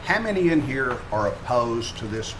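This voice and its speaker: A man runs a public council meeting at an ordinary mid pitch. He works through procedure formally, heard over clear room noise on the meeting microphone. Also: sing-song, normal speed. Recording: noisy council chamber microphone.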